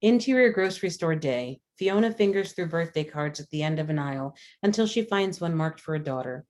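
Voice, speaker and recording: A woman's voice: deep voice, expressive, fairly quick, darkish tone. A woman reading screenplay stage directions as scripted narration, matter-of-fact in delivery. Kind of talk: scripted narration, table read.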